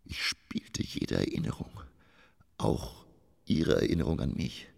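An actor playing a weary, grief-stricken old stage actor in a poetic radio drama, slow, brooding and breathy. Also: male voice, lively intonation, a little mellow, exaggerated voice. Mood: dark anguish. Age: older. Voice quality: gravelly, breathy low voice. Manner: slow, theatrical, brooding delivery.

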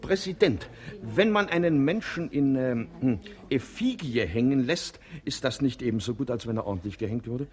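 An actor playing a pompous, befuddled old king in a radio play, halting, hemming and repeating himself. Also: mid-range voice, expressive, close to an everyday voice. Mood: confused, halting. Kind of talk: 1950s German radio play acting.

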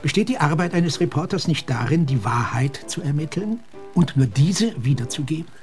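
An actor playing a formal, upright military gentleman in a radio play, polite and moralizing. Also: on the high side, lively intonation, normal speed, close to an everyday voice. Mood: courteous, principled. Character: formal, upright military officer with strong principles. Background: faint music.

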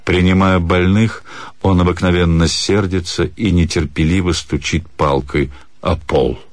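A man reading a literary story theatrically, with expressive, measured phrasing and dramatic pauses. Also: low voice, no echo. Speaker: actor reading a short story. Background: music.

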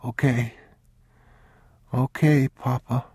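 A man's voice: mid-range voice, steady tone, takes it slowly, breathy edge, no room sound, studio quality, pitched above the performer's own voice. A man voicing a frightened, sick young boy who speaks in a thin, pleading voice. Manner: small pleading voice, thin and quiet.

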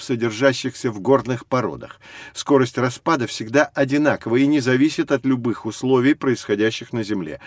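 A man playing a patient, learned guide who teaches children in scripted educational audio narration, didactic and measured. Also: mid-range voice, no echo, natural voice. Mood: encouraging, instructive.

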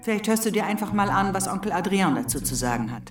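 An actress playing a disillusioned, quietly firm wife and mother in a radio drama, with a restrained, weary manner. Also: medium pitch, animated, fast talker, recorded from a distance, lightly acted. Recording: roomy, off-mic, with music.

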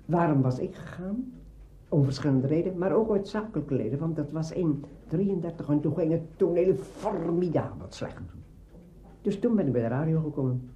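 A man's voice: on the high side, animated, moderate pace, warm tone, a little hiss or hum. A man in his eighties with a Netherlands Dutch accent, a veteran radio actor telling juicy, theatrical anecdotes in conversation. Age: 80s. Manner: juicy, theatrical storytelling with acted-out dialogue. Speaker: veteran radio drama actor being interviewed.

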